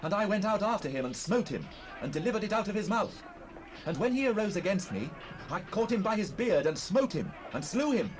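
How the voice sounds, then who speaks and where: An actor playing a bold young shepherd full of faith, speaking earnestly and fervently in a dramatized Bible recording. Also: male voice, high voice, sing-song, a little fast. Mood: fervent, confident. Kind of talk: dramatized Bible audio acting. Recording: faintly noisy cassette rip.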